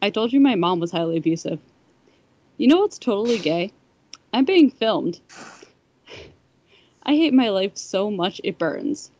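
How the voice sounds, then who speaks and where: A woman's voice: mid-range voice, expressive, unhurried, pauses often, a little mellow, lo-fi sound. A woman reading a first-person story aloud on a podcast, sometimes stumbling over the words. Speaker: fan fiction podcast reader.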